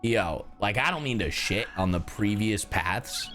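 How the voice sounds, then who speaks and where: A man giving casual game reaction commentary, brash and expressive, with lots of swearing and slang. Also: on the low side, measured pace. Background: game music.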